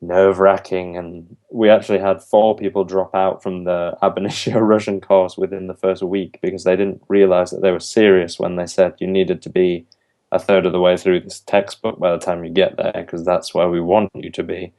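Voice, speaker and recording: A man's voice: low voice, little pitch movement, normal speed, warm tone. A young man, a university language student, answering interview questions thoughtfully and earnestly, with some ums and restarts. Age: young adult.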